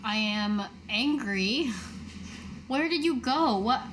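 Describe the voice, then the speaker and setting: A woman's voice: on the high side, animated, takes it slowly, a bit bright, a little hiss or hum. A woman player role-playing casually in a tabletop game. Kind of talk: casual in-character role-play.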